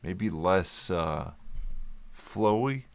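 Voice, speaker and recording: A man giving an informal explainer as a vlogger, speaking in a halting, deliberate way with long hesitations and at times mildly slurred speech. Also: sits low, expressive, gravelly, clean tone. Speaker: vlogger sharing a personal medical journey. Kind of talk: informal explainer, part reading notes, part ad-libbed.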